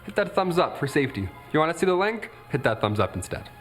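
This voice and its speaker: A man's voice: fairly high pitch, quick pace, soft background music. An American man in his late twenties hosting a countdown video, mixing scripted commentary with joking, conversational asides. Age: late 20s. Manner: jokey, animated, conversational. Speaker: stand-up comic.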